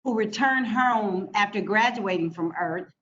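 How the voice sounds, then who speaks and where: An American woman delivers a prepared formal speech in a deliberate, emphatic manner with rhetorical repetition. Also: medium pitch, fairly bright tone. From United States (Michigan). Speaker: speaker from a funding organization. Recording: dull lo-fi audio with background noise.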